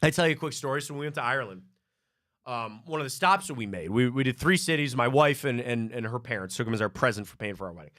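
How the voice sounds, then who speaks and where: A man from Cleveland hosting a live sports talk show, telling stories in an animated way and often repeating himself. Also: medium pitch, fast, no echo, studio quality. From Cleveland, Ohio.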